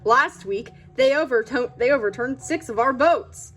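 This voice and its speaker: A woman's voice: high voice, moderate pace, a bit bright. A woman voicing a male jungle boat skipper in a storybook, joking and mock-serious with puns. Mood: deadpan. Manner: punning, mock-serious delivery. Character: jokey male river-boat skipper, deadpan tour patter.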